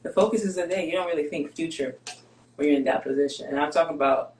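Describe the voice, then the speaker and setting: Greek-born woman guest with Greek as her first language, candid and measured, roomy echo. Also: sits low, slight background noise. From Greece. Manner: candid, steady, measured with pauses. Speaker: guest, basketball player. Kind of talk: reflective interview storytelling.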